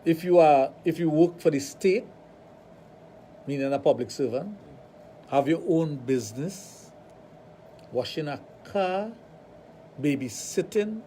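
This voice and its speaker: A man's voice: on the high side, takes it slowly, pauses often, a little hiss or hum. Trinidadian man telling stories on radio. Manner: animated storytelling, acts out dialogue. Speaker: radio show host, sexologist. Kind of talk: radio talk show chat.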